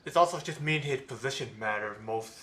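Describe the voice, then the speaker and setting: Male game streamer, bright forward voice, mid pitch, casual offhand remarks, faint background noise. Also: expressive, fairly quick.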